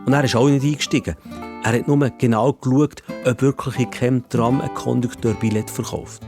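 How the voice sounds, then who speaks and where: A man's voice: medium pitch, fairly even intonation, fairly quick, music in the background. A man with a Swiss German accent telling a children's story in the first person.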